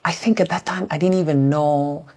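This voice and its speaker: Low-voiced woman interviewer. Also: steady tone, normal speed, mellow. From South Sudan. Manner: thoughtful, repeats words while thinking. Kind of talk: conversational interview.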